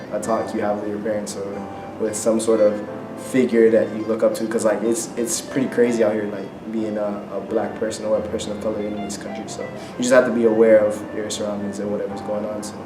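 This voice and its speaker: A young man from Bermuda, an international student answering questions in a relaxed, hedging way. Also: lowish voice, brisk. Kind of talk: casual street interview. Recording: echoey, distant, music over it.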